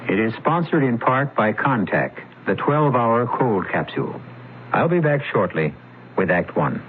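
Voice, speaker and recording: A man hosting a radio mystery drama, introducing the story in a dramatic, measured narration. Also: medium pitch, very animated, a little hiss or hum. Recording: tinny 1970s radio broadcast.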